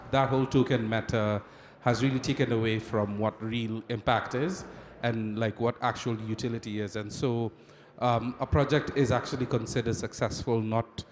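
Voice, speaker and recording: A man's voice: medium pitch, a little hiss or hum. A man answering on a conference panel, hesitant and full of ums. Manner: hesitant, filler-heavy. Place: large conference hall. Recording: roomy echoey conference hall.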